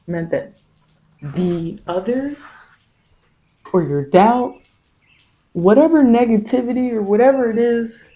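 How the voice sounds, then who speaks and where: A woman giving a soft ASMR tarot reading, speaking in a halting, repetitive, reassuring way as she offers spiritual guidance. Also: lowish voice, rough recording. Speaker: tarot reader.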